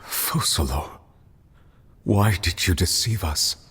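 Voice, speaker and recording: A man acting a grave, formal, commanding authority figure in a video game, speaking in a measured, solemn manner. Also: deep voice, lively intonation, a bit bright, a little hiss or hum, full character voice. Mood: stern, bewildered.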